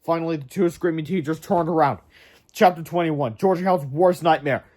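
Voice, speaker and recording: A man's voice: a little high, brisk, a little mellow. A man narrating a storybook aloud in a dramatic way, with casual asides.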